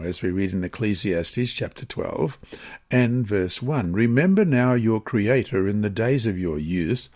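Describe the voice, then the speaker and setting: A man reading a scripted religious lesson and scripture passages in a measured, formal, expressive manner. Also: medium pitch. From Australia. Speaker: Bible lesson reader.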